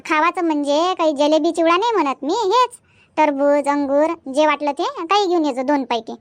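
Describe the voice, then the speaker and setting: A woman's voice: high voice, expressive, moderate pace, no echo. A woman voicing a chatty village mother in a cartoon comedy, speaking colloquial Varhadi Marathi in a homely way. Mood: pleading, insistent. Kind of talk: cartoon comedy dubbing, everyday family chat.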